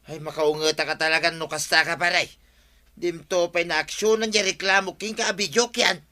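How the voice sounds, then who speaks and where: A grumbling, exasperated village neighbour in an Ilocano radio comedy. The voice is very sharp and forceful, sitting a bit high, with an Ilocano accent. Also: male voice, fairly even intonation, moderate pace. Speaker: actor in radio comedy drama. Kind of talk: Filipino radio comedy drama acting.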